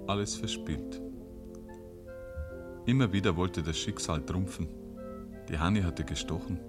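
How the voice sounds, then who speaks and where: A man's voice: low voice, a little slow, music in the background. A man narrating as a wry, folksy storyteller with a Bavarian accent, in dramatic 1950s radio style. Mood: dramatic, rueful.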